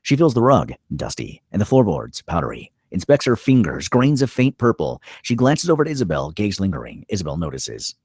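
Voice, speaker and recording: A man narrates screenplay directions in a low, husky voice. His pitch moves around a great deal as he sets each scene, and the sound is dry and close. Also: wildly swooping pitch, brisk, hardly any pauses, darkish tone, no echo. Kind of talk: scripted narration of a screenplay table read.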